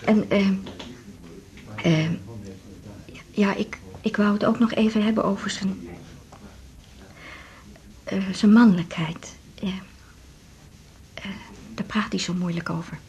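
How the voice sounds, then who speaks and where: A woman's voice: medium pitch, fairly even intonation, slow, long pauses, darkish tone. A middle-aged woman acting a nervous, confiding wife of a patient in a 1980s radio drama, talking in a homely, hesitant way. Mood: embarrassed, hesitant.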